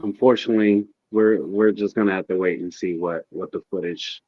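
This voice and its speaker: Low-voiced man on dull dry audio. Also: steady tone, fairly quick, breathy edge, no echo. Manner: laid-back, soft-spoken, hesitant. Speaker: co-host. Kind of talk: casual chat.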